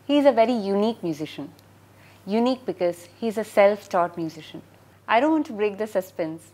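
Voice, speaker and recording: A woman's voice: medium pitch, darkish tone. A woman interviewing a guest, asking brief questions and giving frequent short acknowledgements. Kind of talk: talk show interview.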